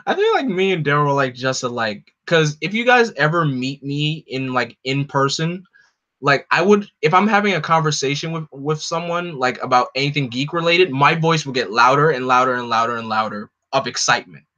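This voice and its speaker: Deep-voiced young man, rambling stream chat. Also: animated, fairly quick, bright, forceful tone. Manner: rambling, lots of "like" and "you know"; reads out and answers chat. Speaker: livestream host. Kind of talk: casual chat. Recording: dull lo-fi stream audio.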